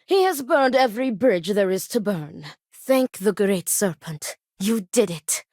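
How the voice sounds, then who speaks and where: Stern, formal, dutiful game character. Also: female voice, medium pitch, lively intonation, measured pace, darkish tone, no echo, clean studio sound. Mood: grave, earnest. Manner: formal, precise, clipped phrasing without contractions. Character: stern, formal, dutiful woman overseeing a family duel. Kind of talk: scripted video game character dialogue.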